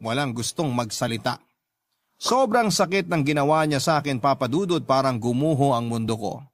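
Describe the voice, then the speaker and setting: A man's voice: mid-range voice, lively intonation, normal speed. A man with a Filipino accent, a radio host reading a letter aloud as a heartfelt, dramatic first-person story.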